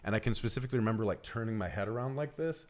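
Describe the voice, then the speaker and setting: A man's voice: lowish voice, racing. A man telling a personal story casually on a podcast, earnest and emphatic in the way he describes things. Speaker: podcast co-host.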